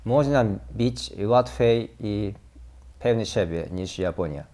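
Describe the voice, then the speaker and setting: A man's voice: lowish voice, measured pace, a little mellow. A Japanese man, a non-native speaker, answering hesitantly and thoughtfully. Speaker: interviewee. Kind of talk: casual interview answers.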